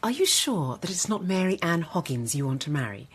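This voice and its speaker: A poised young lady in a radio costume drama. Her voice is a little husky and swings expressively in pitch. She is direct, assured and unsentimental. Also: big pitch swings, a little fast. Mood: pointed, composed. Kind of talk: period radio drama acting.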